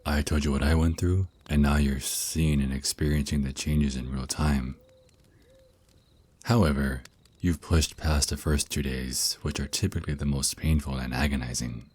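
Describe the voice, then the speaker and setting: A man's voice: very deep voice, fairly even intonation, breathy edge. A man voicing a teasing, protective vampire naga boyfriend who mentors his lover in an intimate, reassuring ASMR roleplay. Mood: caring, instructive. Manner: soft teasing endearments, reassuring, unhurried. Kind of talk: ASMR roleplay, intimate one-sided dialogue.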